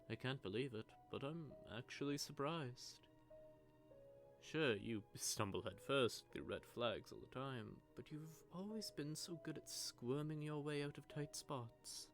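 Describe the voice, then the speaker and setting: A man playing a smug, sardonic vampire who taunts a captive in a teasing, drawling, conversational monologue, with a gravelly voice. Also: mid-range voice, natural voice. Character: smug, sardonic male vampire gloating over a captive hunter. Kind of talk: scripted audio roleplay monologue addressed to the listener. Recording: background music under the voice.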